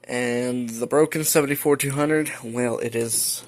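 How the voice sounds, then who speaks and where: A man's voice: mid-range voice, fairly even intonation, a little slow, a little hiss or hum. A man giving a casual, rambling, matter-of-fact show-and-tell as a hobbyist collector at home. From United States. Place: indoors at home.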